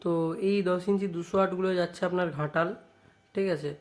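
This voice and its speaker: A man's voice: sits high, fairly even intonation, fast. A man with a West Bengali accent casually showing off products as a shop owner vlogging, often adding little checking tag phrases. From West Bengal, India. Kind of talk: casual product showcase vlog.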